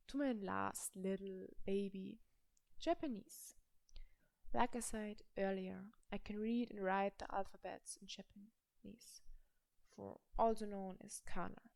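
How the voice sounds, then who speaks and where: A young woman from Germany with a German accent, speaking slowly and carefully to the camera in a personal language vlog. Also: medium pitch, vocal fry, dry recording. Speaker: language vlogger. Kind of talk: slow personal vlog talk.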